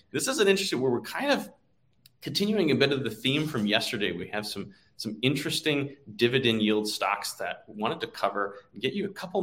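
A man's voice: mid-range voice, fairly bright tone. A man co-hosting a stock market show, talking through market commentary in a conversational style.

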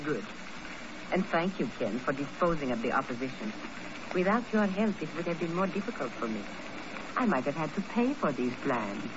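A woman playing a poised, duplicitous, coolly calculating spy in a 1950 radio drama, with dramatic, deliberate, formal phrasing. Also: low voice, very animated. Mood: coolly triumphant. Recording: muffled, noisy old radio broadcast.

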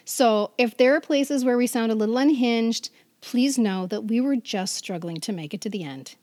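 A woman's voice: on the high side, moderate pace, a little mellow, no echo, studio quality. An American woman in her fifties co-hosting a podcast, telling stories in a casual, chatty style. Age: 50s. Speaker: podcast co-host. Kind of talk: casual podcast chat and storytelling.

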